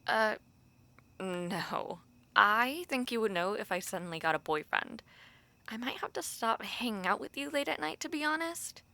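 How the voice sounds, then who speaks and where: A woman's voice: on the high side, lively intonation, gravelly, sharp tone, heavily acted. A young woman playing a gossipy, teasing university dormmate and close friend, chatting casually to the listener in an audio roleplay. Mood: playful, teasing. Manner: conversational, gossipy, pausing with filler words.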